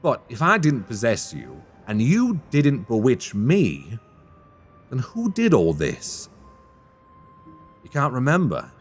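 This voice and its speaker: A man's voice: mid-range voice, huge pitch swings, slow, pauses often, slightly rough, soft background music, full character voice. A man playing a cheeky, panicky young rogue in a fantasy audio roleplay, rambling in flustered, colloquial banter. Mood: pleading, indignant.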